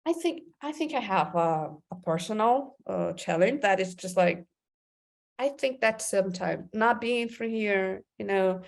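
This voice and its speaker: A woman's voice: medium pitch, expressive, a little slow, gravelly. A woman from Brazil with a Brazilian Portuguese accent, speaking English as an interview guest, thoughtful and full of fillers and restarts. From Brazil, Amazon region. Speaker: creative director and club president.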